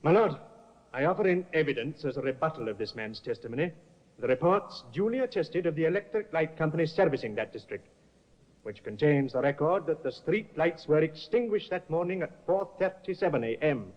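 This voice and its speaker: An actor playing a shrewd, polished defense barrister in a 1950s radio drama, speaking in a measured, probing way. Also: male voice, a little high, expressive. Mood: cross-examining. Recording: dull lo-fi old radio broadcast.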